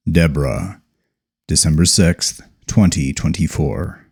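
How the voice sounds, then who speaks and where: A man reading scripted horror fiction as an audiobook narrator. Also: sits low.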